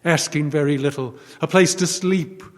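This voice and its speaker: A man's voice: a little high, moderate pace, close to an everyday voice. An actor playing a vengeful man risen from the grave in old-time radio horror style, solemn and incantatory. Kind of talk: old-time radio horror acting before a live audience. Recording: long reverb of a live hall.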